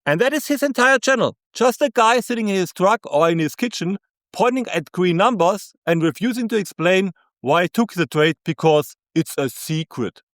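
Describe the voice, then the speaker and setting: A man with a thick German accent ranting through scripted commentary, scornful and sarcastic. His voice sits fairly high and swings widely in pitch for emphasis. The sound is close and dry. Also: animated, moderate pace, dry recording.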